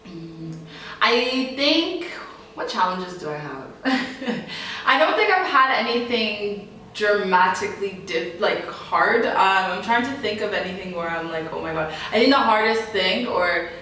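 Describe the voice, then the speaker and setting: Young woman guest, bright and chatty, laughs easily, sits far off mic in a roomy space. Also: mid-range voice, measured pace, a little hiss or hum. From Canada. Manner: laughs often, animated, chatty. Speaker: interview guest. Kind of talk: casual interview answers. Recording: echoey room, voice from off mic.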